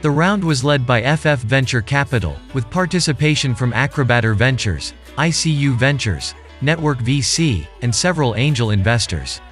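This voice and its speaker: A man's voice: medium pitch, animated, normal speed, hardly any pauses, a bit bright. Male narrator from Germany over faint music. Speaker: video presenter. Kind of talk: scripted narration.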